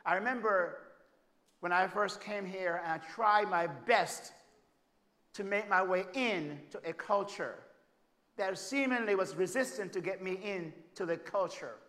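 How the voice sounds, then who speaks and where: A Jamaican man preaching a sermon, his voice high and ringing bright. He swings from forceful, emphatic declarations down to quiet, hushed storytelling, and the congregation answers him along the way. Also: unhurried. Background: congregation calling out amens.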